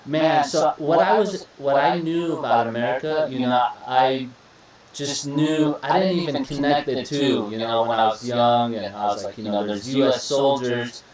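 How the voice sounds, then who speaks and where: A young man from Iraq hosting a podcast interview, relaxed and chatty, often repeating words and calling his guest "bro.". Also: medium pitch, moderate pace, far from the mic, a crowd in the background. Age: young adult. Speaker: podcast host. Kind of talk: casual interview chat. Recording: echoey room, voice a bit off-mic.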